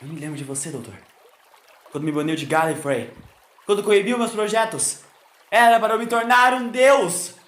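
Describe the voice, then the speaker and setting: A man's voice: high-pitched, moderate pace, fairly bright tone, echoey room, voice pushed up. A Brazilian man voicing a haunted small boy with a wrathful, grandiose Time Lord soul, in an audio drama. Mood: bitter, agitated. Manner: impassioned, grandiose proclaiming.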